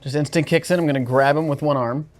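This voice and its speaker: A woman's voice: deep voice, steady tone, fast talker, soft background music. A woman chatting casually and speaking for her character as a player in a tabletop role-playing game.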